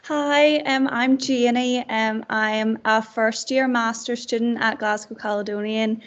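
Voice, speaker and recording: Young Northern Irish woman, student introduction, flat intonation, voice slightly high. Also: a little slow, muffled recording. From Belfast, Northern Ireland. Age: young adult. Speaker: physiotherapy student. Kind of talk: informal self-introduction. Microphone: video call.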